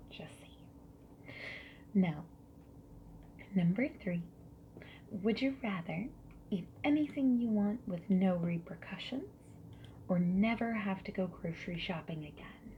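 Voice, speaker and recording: Woman, soft-spoken ASMR, whispery, slow pace, gentle friendly questioning, dull lo-fi recording. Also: fairly low pitch. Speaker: ASMR roleplayer playing a survey interviewer. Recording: lo-fi muffled sound with background noise.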